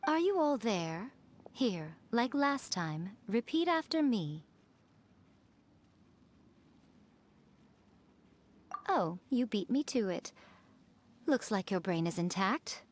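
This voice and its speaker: A woman's voice: mid-range voice, big pitch swings, measured pace. A woman voicing a sly, elegant fox shrine priestess in a video game, playful, teasing and self-assured. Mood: teasing, pleased. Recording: music behind the voice.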